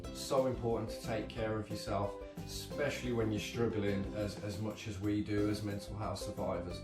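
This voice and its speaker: A man's voice: fairly low pitch, flat delivery, moderate pace, far from the mic. A man vlogging a motivational talk to his viewers, earnest and direct, repeating himself for emphasis. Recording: roomy echo, from off-mic, music behind. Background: guitar music.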